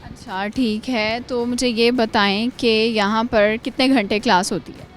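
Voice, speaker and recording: A young woman with a high voice runs a playful interview at a college, firing quick teasing follow-ups. Faint music plays under her voice. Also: fairly even intonation. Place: college campus.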